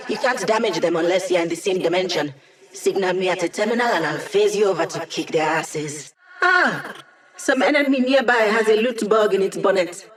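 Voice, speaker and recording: A woman's voice: mid-range voice, close to an everyday voice. A sarcastic young hacker woman, played by an actress, from a video game. She talks casually and teasingly, tossing out quips as she guides her squad. Her delivery is wry and conversational. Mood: briskly instructive. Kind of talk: scripted video game character dialogue.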